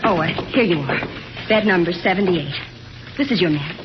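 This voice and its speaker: Actress as well-meaning flustered woman, chatty, 1950s radio acting, muffled sound, loud music behind. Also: lowish voice, normal speed, natural voice. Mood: helpful, flustered. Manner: chatty, exclamatory. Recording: muffled vintage radio recording.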